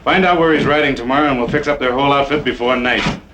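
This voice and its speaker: An actor playing a hard, impatient outlaw boss in an old western film, curt and taunting. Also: male voice, medium pitch, quick pace, lightly acted. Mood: curt, scornful. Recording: noisy old film soundtrack.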